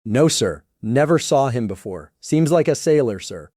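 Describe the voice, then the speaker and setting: Man doing a bright, deferential rustic gamekeeper. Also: medium pitch, sing-song, moderate pace, no room sound, clean studio sound, pitched above the performer's own voice. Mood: deferential, earnest. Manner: respectful, plain-spoken servant. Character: deferential rustic English head gamekeeper, plain and earnest. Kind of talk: audiobook character voice.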